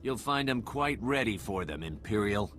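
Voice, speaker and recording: An actor playing a wary, suspicious army sergeant in a video game, with a blunt, familiar manner. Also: male voice, lowish voice, expressive, normal speed, a bit bright, faint music behind. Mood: suspicious, grudging.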